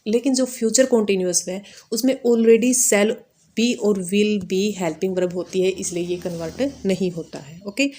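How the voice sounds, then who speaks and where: A woman's voice: mid-range voice, darkish tone. Indian woman teaching step by step. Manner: instructional, repetitive, checking understanding with short tags. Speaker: English grammar teacher. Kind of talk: explanatory grammar lesson, step by step.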